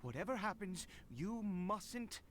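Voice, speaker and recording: A man playing a fervent, anxious, middle-aged father in a video game, speaking haltingly with stammers and exclamations. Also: high-pitched, sing-song, fairly bright tone, a little hiss or hum, full character voice. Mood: anxious, soothing. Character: desperate, fervent, anxious father doting on his son. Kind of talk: dramatic video game voice acting. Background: background music.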